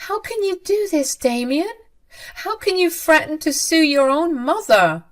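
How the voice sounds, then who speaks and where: A frantic, begging mother-in-law in a storybook tale, her voice pushed up high and loud, as a woman narrator puts her on. She pleads and protests with rising urgency. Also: animated, unhurried. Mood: shrill. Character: desperate pleading middle-aged mother-in-law. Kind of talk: storybook reading.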